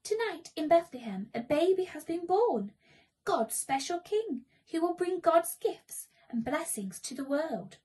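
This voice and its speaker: A woman voicing a high, reassuring storybook angel. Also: sing-song, measured pace, dark tone, full character voice. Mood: proclaiming. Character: calm, reassuring angel announcing good news. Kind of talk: children's storybook reading.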